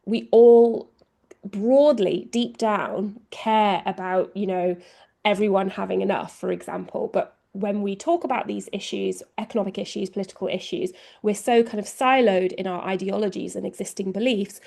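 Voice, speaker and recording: A woman with an English accent speaking over a video call, her voice carrying a gravelly, fry-tinged texture. She presents in a chatty, self-deprecating way, leaning on fillers as she goes. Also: medium pitch, steady tone, moderate pace, dark tone. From England. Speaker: guest speaker, communications co-lead. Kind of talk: online presentation.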